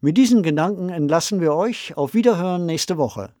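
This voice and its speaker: A man's voice: high voice, expressive, brisk, darkish tone, no echo, clean studio sound. A man co-hosting a discussion podcast. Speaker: podcast co-host.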